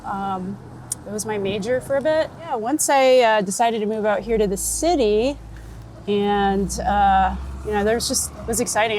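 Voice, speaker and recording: A woman from Florida with an American accent, chatting casually as an interviewed photographer, talkative and quick to laugh. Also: mid-range voice. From United States, Florida. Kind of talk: casual chat while out and about. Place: on location in a city, rooftop, car and street. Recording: outdoor city and vehicle noise.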